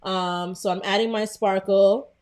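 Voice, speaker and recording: A woman's voice: medium pitch, steady tone, a little slow, darkish tone. A young woman with a Brooklyn accent hosting a casual live design tutorial, chatty and full of fillers as she greets viewers. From Brooklyn, New York. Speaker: livestream host.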